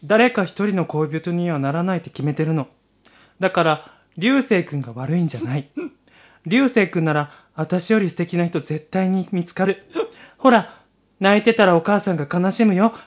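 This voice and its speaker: A man's voice: high-pitched, animated, moderate pace. A man co-hosting a podcast with casual, playful banter and mock-exasperated teasing. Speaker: podcast co-host. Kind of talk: casual comic banter in a quiz segment.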